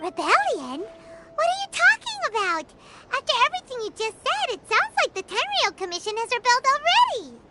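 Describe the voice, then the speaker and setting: A woman voicing a tiny, naive, childlike fairy companion in a video game, with a squeaky, exclamatory delivery. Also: wildly swooping pitch, brisk, exaggerated voice. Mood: startled. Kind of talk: animated game character acting. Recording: children's-style music behind the voice.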